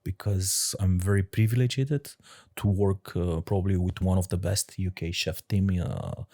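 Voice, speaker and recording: A man from Romania, a sommelier guest answering interview questions in halting English with many fillers and laughs. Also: deep voice, lively intonation, vocal fry, dark tone. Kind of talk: casual interview talk.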